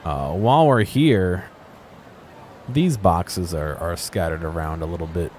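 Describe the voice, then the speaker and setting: Low-voiced man musing over a game. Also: measured pace, darkish tone, a little hiss or hum. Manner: musing, thinking aloud. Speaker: gameplay video host. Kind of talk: casual unscripted game exploration commentary.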